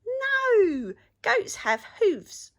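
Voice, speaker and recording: A woman's voice: sing-song, extremely slow, a bit bright, full character voice. A woman voicing a crafty, pleading long-eared rabbit in a children's storybook. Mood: cheeky. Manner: high, exclaiming, pleading.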